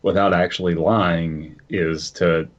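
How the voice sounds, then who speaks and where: A man's voice: sits low, steady tone, slow pace. A man co-hosting a horror podcast, talking hesitantly and searching for words as he chats and reads. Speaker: podcast co-host. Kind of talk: casual chat and story reading. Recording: dull muffled audio.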